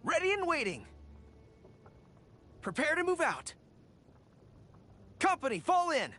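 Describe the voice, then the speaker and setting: A woman's voice: very high-pitched, sing-song, slow pace, fairly bright tone, soft background music, exaggerated voice. An actress playing a spirited young female army commander in a video game, earnest and resolute, speaking in short commanding lines. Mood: rallying, resolute. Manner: rallying, commanding, short barked orders.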